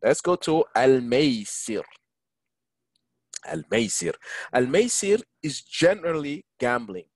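A man teaching an online Quran lesson, engaging his listeners and reciting Arabic verses quickly. English is not his first language. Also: mid-range voice, sing-song, no room sound, studio quality. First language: neither Arabic, English nor French. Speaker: imam teaching a Quran class. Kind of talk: online Quran lesson over a video call. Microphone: video call.

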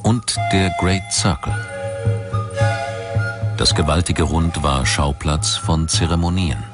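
Deep-voiced male documentary narrator over music. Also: slow pace. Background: music behind the voice.

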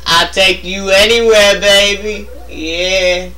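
A man's voice: high-pitched, steady tone, takes it slowly, sharp tone, rough recording. A man giving casual, joking game commentary in an exasperated, sarcastic, complaining way. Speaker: let's play co-commentator.

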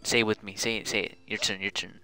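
A woman's voice: rumbling low, level tone, fast, bright, forceful tone, faint music behind. A woman streamer chatting casually and playfully bossing her friend around during a game stream. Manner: playful, bossy, coaching. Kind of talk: casual chat while gaming.

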